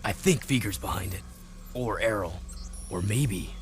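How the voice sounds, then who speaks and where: A man's voice: lowish voice, a little slow, lightly acted. An actor playing a terse, confident young male action hero in a video game. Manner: terse, confident, matter-of-fact. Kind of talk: animated video game acting. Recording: music behind the voice.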